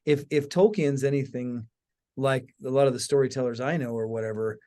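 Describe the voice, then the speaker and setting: A man's voice: medium pitch, fairly even intonation, brisk, dark tone. A man hosting a podcast in a casual, conversational way, informal and engaged, often checking in with his listener. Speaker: podcast host.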